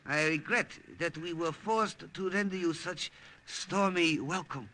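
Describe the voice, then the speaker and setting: An actor playing a smoothly polite but threatening army colonel, in formal, clipped old-time radio drama style. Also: male voice, fairly high pitch, unhurried, pushed and bright, a little hiss or hum. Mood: coolly polite. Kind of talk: 1940s-50s radio drama acting.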